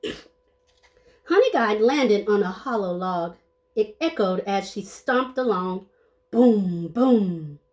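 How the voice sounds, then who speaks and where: A woman's voice: medium pitch, lively intonation, slow, pauses often, darkish tone. A woman reading a children's story aloud expressively, playing up the sound words and adding short asides to her listeners. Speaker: storybook reader.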